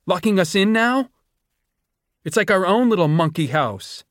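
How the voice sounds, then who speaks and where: A man's voice: high-pitched, animated, a little slow, no echo, studio quality, voice pushed up. A man voicing a defiant, idealistic young man who speaks in a strained, heated way. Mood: scornful. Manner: strained, heated, spitting words out.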